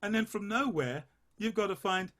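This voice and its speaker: A man leading a choir rehearsal, coaching the basses and singing short phrases to demonstrate. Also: lively intonation, bright, forceful tone. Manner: unhurried, sings phrases to demonstrate. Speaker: choir leader.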